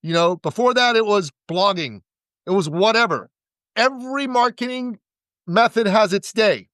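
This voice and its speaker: High-voiced emphatic man pitching. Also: expressive, moderate pace, a bit bright, dry recording, studio quality. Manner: emphatic, repeats words for stress. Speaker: podcast guest, business coach. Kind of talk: energetic sales pitch.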